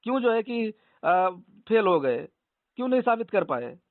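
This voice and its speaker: A man speaks in a high voice over a narrow, tinny phone line. He debates in an argumentative, insistent way and repeats his points. The sound is dry, like a recorded call. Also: big pitch swings, normal speed, dry recording. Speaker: organisation leader debating a caller.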